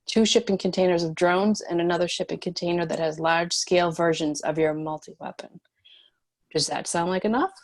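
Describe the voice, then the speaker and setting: A guarded, resolute woman leading a resistance, played by an actress at a table read, speaks in a lowish voice. She is matter-of-fact and restrained, with grief held under the surface in an understated screen-drama style. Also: steady tone, a little fast, close to an everyday voice. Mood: businesslike, wary.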